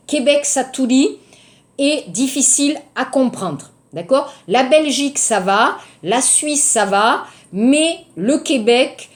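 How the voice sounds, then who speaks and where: A woman with a southern French accent teaching a language lesson, repeating key words and checking that learners follow. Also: sits high. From southern France. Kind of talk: recorded online language lesson.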